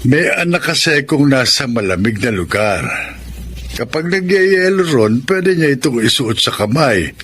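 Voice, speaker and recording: A kindly old grandfather near seventy for a radio drama. He is patient and unhurried, with expressive swings in pitch. Faint noise sits behind the voice. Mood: kindly, amused. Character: gentle, kindly widowed grandfather nearing seventy. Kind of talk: Filipino radio drama acting. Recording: faint background ambient noise.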